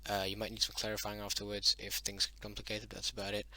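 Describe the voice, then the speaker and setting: A man's voice: lowish voice, monotone, quick pace, sharp tone, no room sound, low-quality recording. A man whose first language is Dutch-derived, explaining his invented language in a casual spoken lesson, hesitant with many ums and self-corrections.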